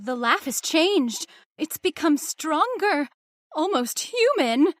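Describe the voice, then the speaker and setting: Woman doing scared young daughter, very high bright voice, slow, dry. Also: sing-song, no echo, heavily acted. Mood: uneasy. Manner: breathless, alarmed. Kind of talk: old-time radio horror acting.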